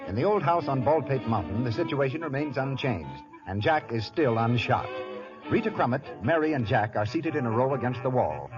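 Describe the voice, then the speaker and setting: A man's voice: medium pitch, big pitch swings, moderate pace, music in the background. A man working as a 1930s radio announcer, reading scripted narration and advertising copy. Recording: dull old radio broadcast.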